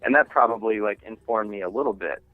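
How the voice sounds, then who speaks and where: Low-voiced man on a phone-like line. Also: no echo, faint music behind. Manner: halting, informal, rambling thought by thought. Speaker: interviewed singer-songwriter and music teacher. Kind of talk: casual radio interview talk. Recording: thin phone-line sound.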